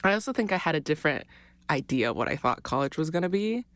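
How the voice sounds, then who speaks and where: Podcast guest: young woman from Honduras, low voice, dry close sound, chatty and upbeat. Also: big pitch swings, fast, no room sound. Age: young adult. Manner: chatty, laughs often, many fillers. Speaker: guest student. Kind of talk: casual interview chat.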